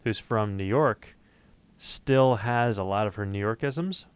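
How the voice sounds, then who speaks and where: A man's voice: on the low side, a little slow, clear, resonant tone, no room sound. Man hosting a casual chat. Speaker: host.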